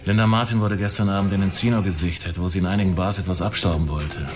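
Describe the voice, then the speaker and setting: An actor playing a commanding police squad boss, giving curt, authoritative orders in a radio drama. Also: male voice, sits low, fairly even intonation, fast talker, close to an everyday voice. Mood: brisk, commanding.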